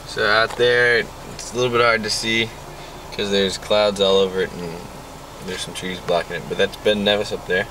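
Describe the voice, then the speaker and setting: A man's voice: fairly low pitch, little pitch movement, normal speed, far from the mic. A young man in his twenties casually narrating a road trip from inside a car, joking like a tour guide. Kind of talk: casual travel commentary. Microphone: handheld camera at arm's length. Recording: loud car noise, slightly off-mic.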